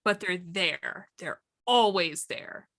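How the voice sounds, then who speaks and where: A woman chatting as a workshop panelist, explaining things casually and thoughtfully with pauses mid-sentence. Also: lowish voice, fairly bright tone. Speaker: workshop panelist and game storyteller.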